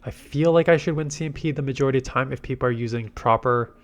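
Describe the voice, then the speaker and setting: Man, congested from a cold, medium pitch, casual gaming commentary, thinking tactics out loud. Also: fast talker. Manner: thinks aloud through tactics. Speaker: game commentator and video host.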